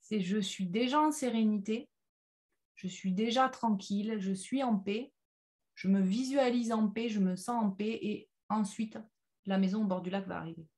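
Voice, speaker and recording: Woman leading an informal workshop, halting delivery. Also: mid-range voice, a little mellow. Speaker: personal development workshop leader. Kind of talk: informal interactive online workshop talk with slides.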